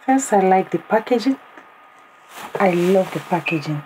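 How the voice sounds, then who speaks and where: A hoarse woman vlogger unboxing birthday gifts in a casual chat, exclaiming often and talking straight to her viewers. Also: mid-range voice, lively intonation, normal speed. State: hoarse, voice partly lost. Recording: noisy home recording.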